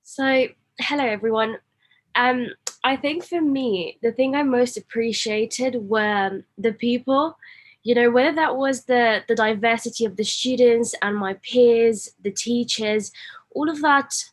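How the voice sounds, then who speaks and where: A young woman answering as a former student on an online panel, reflective and measured, often saying "you know", over a video call. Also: a little high. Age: young adult. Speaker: alumna panelist.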